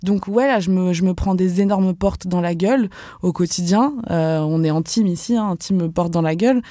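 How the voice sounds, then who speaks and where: Candid young woman with a mellow voice. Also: on the low side, normal speed, dry recording, studio quality. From France. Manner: candid, animated, casual slang with frequent fillers. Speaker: guest, professional dancer. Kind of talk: podcast interview.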